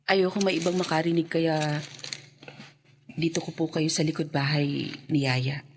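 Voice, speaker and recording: An actress playing a resolute, protective elder sister in a radio drama, earnest and guarded, with a husky voice. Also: female voice, low-pitched, fairly even intonation, unhurried, a little hiss or hum. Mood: hesitant, secretive.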